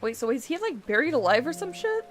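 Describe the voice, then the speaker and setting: A woman's voice: high-pitched, very animated, fast, slight background noise. A woman chatting casually as co-streamer of a livestreamed game.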